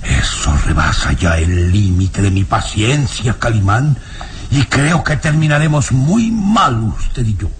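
An actor playing an irascible old baron in a vintage radio serial, speaking formally and emphatically with indignant menace. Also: male voice, medium pitch, lively intonation, unhurried, close to an everyday voice. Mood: threatening. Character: irascible, imperious old baron, nervous castle lord. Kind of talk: vintage Spanish-language radio serial acting.

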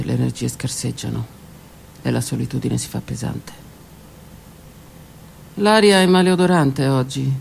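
Woman, theatrical monologue, dramatic and introspective with hushed passages; gravelly texture, faint hiss behind. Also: rumbling low. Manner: introspective, dramatic delivery, drops to whispers. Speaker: stage performer. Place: stage or studio performance.

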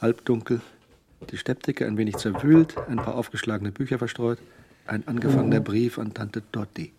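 A man's voice: mid-range voice, normal speed, background noise, lightly acted. A man acting as the dry, observant narrator of a German crime radio drama. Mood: dry, ironic.

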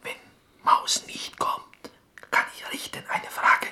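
An actor playing an earnest, excitable Greek prisoner in a radio drama, telling stories insistently in halting, broken speech with a Greek accent. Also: male voice. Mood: insistent, hushed. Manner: halting broken German, insistent, half-whispered storytelling.

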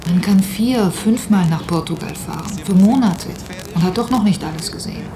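A woman's voice: medium pitch, moderate pace, natural voice. An actress playing an earnest, persuasive woman voicing a pleading letter in a radio drama, insistent in manner. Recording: noisy cassette, echoey voice.

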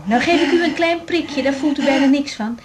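An actress playing a young hospital doctor in a radio drama, direct, practical and caring though harried. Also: female voice, high-pitched, fairly quick, heavy background noise, close to an everyday voice. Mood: soothing, reassuring. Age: young adult. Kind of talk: broadcast radio drama acting.

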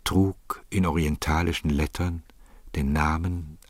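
A man's voice: a bass voice, fairly even intonation, unhurried, no room sound, clean studio sound. A man narrating a literary story as scripted narration.

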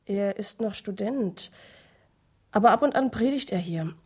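A woman playing an eager, persuasive friend in a German radio drama, with a very breathy, hushed voice. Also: medium pitch, lively intonation, a little slow. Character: eager, persuasive woman recommending a young man.

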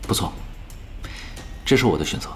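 A man playing a calm, resolute veteran pro gamer in an audio drama, composed and understated in delivery. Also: normal speed, a little hiss or hum, lightly acted.

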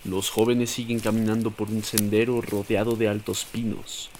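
Low-voiced Mexican man narrating a story. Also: flat delivery, normal speed, darkish tone, a little hiss or hum. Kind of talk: scripted audio story narration.

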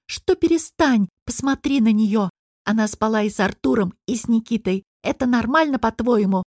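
A woman's voice: a little high, normal speed, a little mellow, dry recording, studio quality, natural voice. A woman voicing an angry, accusing, near-hysterical young woman in audiobook dialogue, scolding in exclamations.

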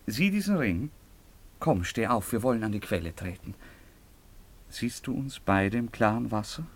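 Young baron guy, lowish voice, passionate and poetic, very theatrical radio play delivery. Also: expressive, moderate pace. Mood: ardent, tender. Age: young adult. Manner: declamatory, ardent, poetic. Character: passionate young baron wooing a peasant girl. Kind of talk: theatrical German radio drama acting.

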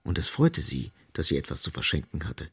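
An actor playing the storyteller of a literary radio drama, narrating deliberately and expressively. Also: male voice, very deep voice, very animated, a bit bright, slightly rough, no echo, exaggerated voice. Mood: wry. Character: omniscient male storyteller of a literary novel. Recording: faint music behind the voice.

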